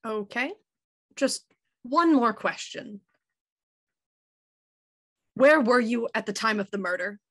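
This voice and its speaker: Woman playing high-voiced, probing inspector. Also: lively intonation, fairly bright tone, heavily acted. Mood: businesslike. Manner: probing, measured, dry. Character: cool, probing police inspector, dryly skeptical woman. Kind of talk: radio mystery acting, live online table read.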